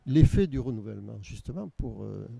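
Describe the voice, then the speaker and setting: A man's voice: lowish voice, animated, brisk, gravelly, warm tone. An older man from Nice, the organizer of a local art exhibition, answering interview questions hesitantly with frequent repeats. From Nice, France.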